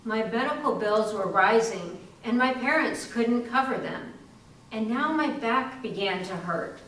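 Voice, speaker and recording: A woman playing a suffering young factory worker recounting her illness in a measured readers theater monologue. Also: on the high side, lively intonation, a bit bright. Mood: somber, pained. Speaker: community theatre actor. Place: room at a live library reading. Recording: echoey room, distant mic, faint noise.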